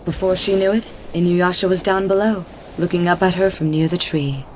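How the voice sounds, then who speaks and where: A woman's voice: on the low side, fairly even intonation, a little fast, a little mellow, a little hiss or hum, rough recording. A woman narrator reading a scripted story in the third person.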